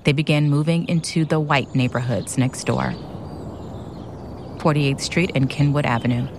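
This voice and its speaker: Low-voiced woman narrator. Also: steady tone, normal speed, noisy background. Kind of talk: scripted documentary drama narration. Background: music.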